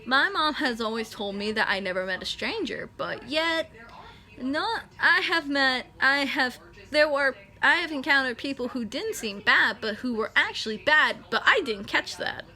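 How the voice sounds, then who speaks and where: A woman's voice: sits high, lively intonation, moderate pace, sharp tone. A nineteen-year-old woman talking casually to camera, rambling and correcting herself as she explains. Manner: rambling, self-correcting, chatty. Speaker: vlogger.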